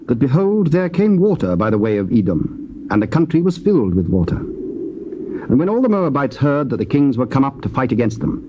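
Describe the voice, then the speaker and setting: A man narrating scripture in a measured, solemn reading style. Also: medium pitch, dark tone, a little hiss or hum. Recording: muffled old cassette tape.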